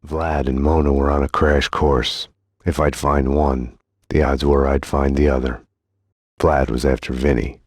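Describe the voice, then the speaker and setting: An actor playing a world-weary hard-boiled detective in a video game, delivering terse, deadpan noir lines. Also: male voice, rumbling low, unhurried, slightly rough, no room sound, studio quality, full character voice. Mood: grim, brooding. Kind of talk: scripted noir game narration.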